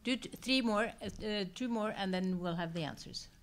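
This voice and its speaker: A woman's voice: medium pitch, moderate pace. A woman moderating audience questions at a public talk, speaking in an informal, direct way. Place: public seminar room with audience. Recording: live hall microphone, clear background noise.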